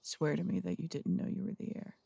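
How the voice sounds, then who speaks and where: A man's voice: fairly high pitch, fairly even intonation, a little fast, creaky, mellow, breathy edge, dry recording, heavily acted. A man playing a stern male detective who runs the morgue, blunt and warning in an audio drama.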